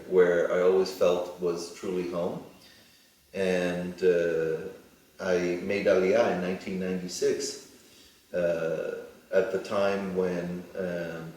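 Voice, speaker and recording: Deep-voiced middle-aged man, echoey and distant. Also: darkish tone. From Israel. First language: Hebrew. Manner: deliberate, many ums and uhs. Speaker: plastic surgeon, interview guest. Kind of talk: measured interview answers. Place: studio. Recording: echoey studio, mic far off.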